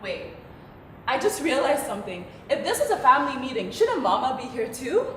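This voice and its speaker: A distant, very echoey theatre recording carries a high female voice. An actress plays an ambitious, sardonic daughter in a stage drama, teasing with animated, projected delivery. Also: normal speed. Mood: pressing. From Tanzania. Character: ambitious, teasing adult daughter of a wealthy businessman. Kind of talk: stage play dialogue. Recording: echoey theatre, distant mic, faint noise.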